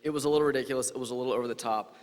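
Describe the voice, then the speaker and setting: A man presenting his hacking contest on stage, talking fast in a casual, enthusiastic way. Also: medium pitch, fairly even intonation. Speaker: capture the flag organizer. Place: large conference hall. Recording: reverberant conference hall.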